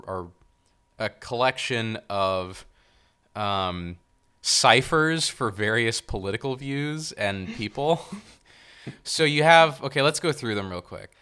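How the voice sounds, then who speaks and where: Smooth, bright-voiced man joking on a podcast. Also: mid-range voice. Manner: joking, halting with fillers. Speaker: podcast co-host. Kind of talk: casual movie review chat.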